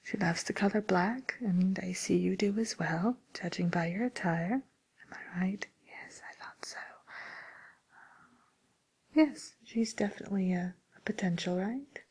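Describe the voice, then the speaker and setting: A woman playing a genteel, businesslike matchmaker for villains in a whispered ASMR role play, murmuring politely and hesitantly in a breathy voice. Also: mid-range voice, unhurried, a little mellow. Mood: politely helpful.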